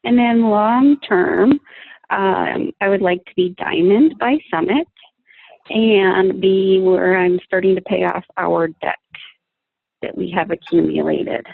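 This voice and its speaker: A woman on a casual group video call, talking in a measured way with some fillers. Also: medium pitch, creaky, no echo. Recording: tinny phone-like line.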